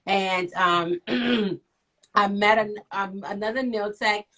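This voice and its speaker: A middle-aged woman from Liberia, a nail professional and author, telling her story as an interview guest in a lively, teasing way. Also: medium pitch, slow pace. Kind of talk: casual interview.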